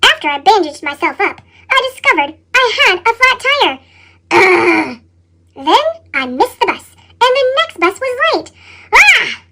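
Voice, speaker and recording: Chipmunk storyteller: extremely high, bright, pitch-shifted voice, expressive and dramatic read-aloud for a children's picture book. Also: a little slow, slight background noise, exaggerated voice. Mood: grumpy. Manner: expressive, dramatic reading with growls and exclamations. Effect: sped-up chipmunk pitch effect.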